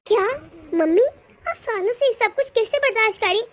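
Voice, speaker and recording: A woman's voice: extremely high pitch, animated, moderate pace. A woman voicing a bold, defiant young daughter-in-law in a cartoon family drama. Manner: defiant, scolding.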